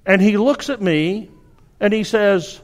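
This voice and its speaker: High-voiced Southern preacher, man. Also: a little slow. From Arkansas. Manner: earnest, exhorting, with frequent restarts. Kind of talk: sermon.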